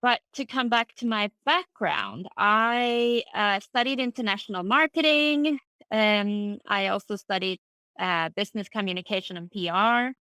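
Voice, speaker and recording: A middle-aged woman from Finland whose first language is Swedish, a translator talking about her career as an interview guest, relaxed and thoughtful, with plenty of fillers and restarts. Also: mid-range voice, unhurried, no echo. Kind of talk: casual conversational interview.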